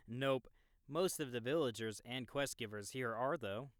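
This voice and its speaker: A man's voice: mid-range voice, measured pace, no echo, studio quality, lightly acted. A man playing an easygoing young experienced game player who explains the world to a newbie in a casual, knowing way, in an audiobook. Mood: casual, amused. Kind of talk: audiobook character acting, explanatory dialogue.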